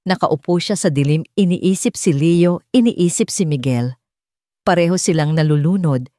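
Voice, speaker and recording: A woman narrating a scripted dramatic story in a measured, earnest storytelling manner. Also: low-pitched, a little mellow, no echo, clean studio sound.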